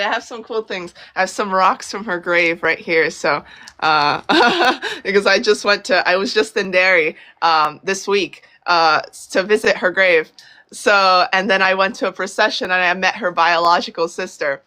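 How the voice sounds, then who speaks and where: Bright-voiced chatty young woman. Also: medium pitch, normal speed. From Brazil. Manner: chatty, effusive, many fillers and restarts. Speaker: guest. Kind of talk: casual interview chat.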